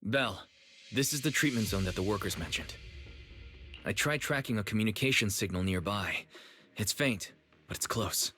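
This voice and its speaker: Focused young game navigator. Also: male voice, lowish voice, normal speed, pauses often, pushed and bright. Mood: focused, cautious. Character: calm, observant young man guiding his team. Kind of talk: video game dialogue. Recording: game music behind.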